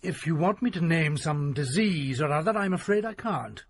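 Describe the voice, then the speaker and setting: A cool, condescending physician in a radio play who scolds with quiet superiority. His voice is slightly high, husky, and moves through a wide range. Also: expressive, normal speed. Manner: smooth, reproving, superior. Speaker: doctor. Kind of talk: radio drama acting.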